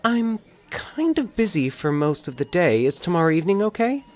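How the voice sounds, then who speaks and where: A man voicing a soft-spoken, hopeful young woman in an audio drama, with a hushed, breathy tone. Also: sits high, sing-song, moderate pace, pitched above the performer's own voice. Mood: shy, hopeful. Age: young adult.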